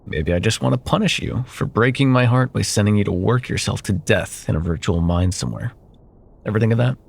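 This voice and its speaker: A man playing a smug, possessive corporate CEO and jilted ex-lover in an intimate ASMR roleplay, with silky condescension and pointed pauses. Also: fairly low pitch, vocal fry. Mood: wounded, taunting.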